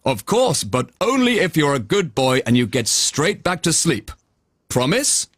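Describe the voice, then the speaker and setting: A man voicing a kindly, calm unicorn who cleans houses in a children's bedtime story. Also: fairly high pitch, unhurried, no echo, studio quality, exaggerated voice. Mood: kindly, reassuring.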